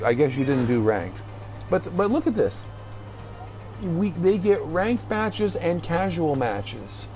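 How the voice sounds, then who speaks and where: A man streaming a video game with casual, rambling commentary, haltingly thinking aloud as he reads the menus. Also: on the high side, darkish tone.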